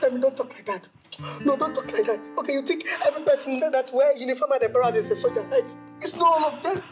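A male actor's young Nigerian man laments in a high, whiny voice. He is theatrical and full of self-pity, as in a comedy skit, with guitar music clearly behind him. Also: fast. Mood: tearful lament. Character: self-pitying, dramatic young man lamenting lost love.

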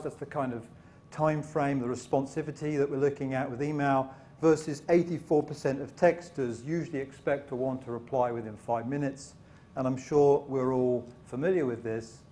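A man's voice: medium pitch, a little mellow. A man giving a keynote talk in a conference hall, loose and full of fillers, asking the audience questions. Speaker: keynote speaker.

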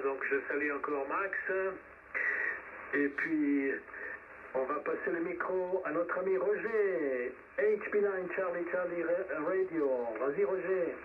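A man hosts an amateur radio net in a somewhat high voice. He comes through a thin, band-limited shortwave signal with clear static around him. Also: slow pace. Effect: shortwave radio transmission. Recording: tinny, noisy shortwave radio reception, distant.

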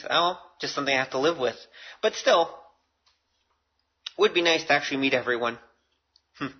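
Male podcast host, casual and self-deprecating, rambling delivery, mid-range pitch, slightly dull sound. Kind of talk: casual solo podcast talk. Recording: dull, lo-fi audio.

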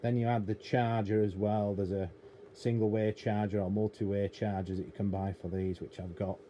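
A man's voice: lowish voice, little pitch movement, normal speed, warm tone, dull audio. A middle-aged British man giving an unscripted, rambling, matter-of-fact hands-on explanation at an indoor workbench. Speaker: radio technician and hobbyist presenter. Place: indoor cluttered electronics workbench. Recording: noticeable room noise behind voice.

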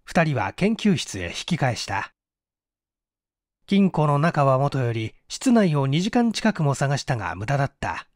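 A man's voice: mid-range voice, very animated, measured pace, no room sound, studio quality. A man reading a mystery story aloud as a scripted, dramatic audiobook narration. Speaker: audiobook narrator, stage performer.

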